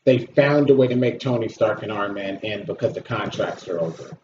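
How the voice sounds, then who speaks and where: A man's voice: fairly low pitch, brisk, a little mellow. A man giving a casual, opinionated movie review as a video host, blunt, complaining and swearing freely. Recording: roomy echo, dull audio, slight noise.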